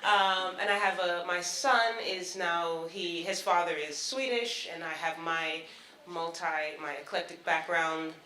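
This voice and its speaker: A woman's voice: on the low side, measured pace, bright, forceful tone, roomy sound, slight background noise. A woman from Barbados, raised in Brooklyn, asking a rambling, chatty question from the audience and laughing often. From Barbados, raised in Brooklyn, New York. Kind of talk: casual question from the floor.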